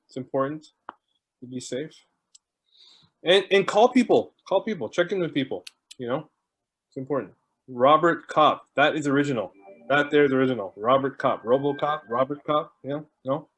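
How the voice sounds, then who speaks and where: A man hosting an online video call, chatting casually and greeting people one by one while he jokes about stalling. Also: on the high side. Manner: chatty, joking, warm greetings to each arrival. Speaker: host.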